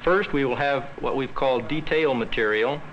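A man's voice: mid-range voice, moderate pace, lo-fi sound. A man in his thirties giving a prepared explanatory answer as a meeting panelist. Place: meeting room. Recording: faintly noisy old film soundtrack.

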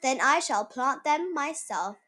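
Woman voicing an earnest, high-voiced little red hen. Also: lively intonation, measured pace, bright, forceful tone, faint music behind, full character voice. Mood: determined. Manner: earnest, determined. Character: plucky, hardworking little red hen in storybook.

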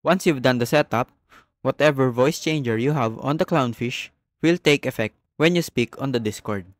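A man narrating a step-by-step software tutorial as the host. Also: medium pitch, normal speed, no room sound.

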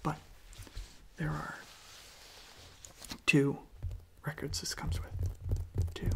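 A young man whispers softly in an ASMR style, close to the mic. His hushed voice has a gravelly fry and stays very even. He goes slowly, haltingly, with a breathy softness. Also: fairly high pitch. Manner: hushed, unhurried, halting delivery. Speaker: ASMR video creator. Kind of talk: soft-spoken ASMR.

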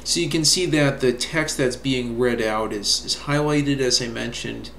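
Middle-aged guy with a gravelly voice, laid-back, says "you know" a lot, echoey room with faint hiss. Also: mid-range voice, a little slow. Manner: relaxed, many fillers like "you know". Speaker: reviewer demonstrating software. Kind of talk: casual screen-recorded review.

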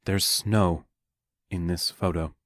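Man as a stern, deep-voiced schoolteacher. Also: fairly even intonation, dry recording. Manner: firm, measured, scolding. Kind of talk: audio play acting.

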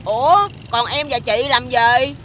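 Bright, high little cartoon creature, southern Vietnamese. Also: female voice, unhurried, slight background noise. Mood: curious. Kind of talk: children's cartoon dubbing.

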